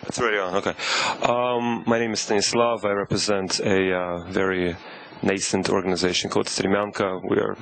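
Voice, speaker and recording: Very deep-voiced man asking a question. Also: little pitch movement, gravelly, fairly bright tone, a little hiss or hum, poor audio. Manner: slow, careful, tentative. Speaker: audience member asking a question. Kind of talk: question from the floor.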